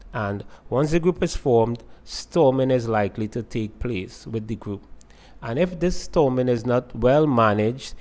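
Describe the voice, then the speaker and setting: A male lecturer with a voice of ordinary middle pitch. He explains concepts methodically and builds lists by repeating the same opening words. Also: a little mellow. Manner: explains step by step with repeated phrasing. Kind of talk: recorded lecture to students.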